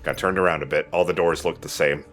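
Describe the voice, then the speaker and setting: A man's voice: a bass voice, fairly even intonation, fast talker, a bit bright. A man giving casual, joking gameplay commentary in an informal way. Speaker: let's play co-host, player. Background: video game music.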